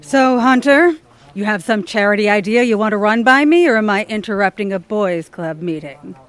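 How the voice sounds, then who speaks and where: An actress plays a scheming woman boss in a radio comedy. Her voice is middling in pitch, and she is condescending and cutting, handing out threats like orders. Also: lively intonation, normal speed, a little hiss or hum, natural voice. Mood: brisk, snide. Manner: imperious, cutting. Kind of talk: scripted audio theatre comedy acting.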